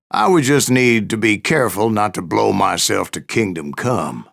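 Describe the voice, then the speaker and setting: A man's voice: on the low side, creaky, a bit bright. An actor playing an old American bounty hunter with a Western drawl, narrating his exploits in a western video game with wry, boastful folksiness. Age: older. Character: grizzled old bounty hunter gunslinger telling tall tales of the Wild West.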